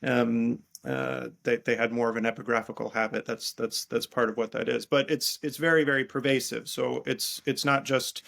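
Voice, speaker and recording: A man's voice with a gravelly fry underneath, at a middling pitch. He answers like an academic guest in an interview, feeling his way through each explanation and doubling back on words as he rephrases them. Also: little pitch movement, a bit bright. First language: English. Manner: halting, thinking aloud, repeating words as he rephrases. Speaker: guest biblical scholar and priest.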